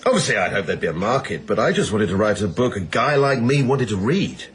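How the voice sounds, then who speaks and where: An actor playing a pompous, earnest and self-satisfied son-in-law in a radio drama. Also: male voice, on the low side, big pitch swings, a little fast, slightly rough, exaggerated voice. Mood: smugly modest. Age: adult.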